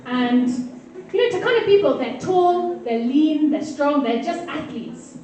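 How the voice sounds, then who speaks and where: A woman's voice: high-pitched, lively intonation, measured pace, darkish tone, recorded from a distance, slight background noise. A woman from Kenya doing a stand-up comedy set, playfully teasing her audience. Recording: echoey hall heard from afar with crowd. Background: audience laughter and crowd murmur.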